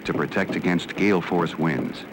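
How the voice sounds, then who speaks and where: An American man reading scripted educational film narration in a measured, formal, serious manner. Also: low voice, noisy background. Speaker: documentary narrator.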